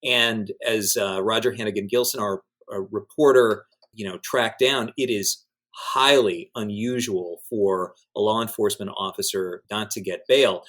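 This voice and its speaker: A man with a fairly low voice talks through news developments as a podcast commentator. His delivery is measured and halting, with words stretched out as he explains. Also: steady tone, fairly bright tone. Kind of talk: news recap in conversation with a host.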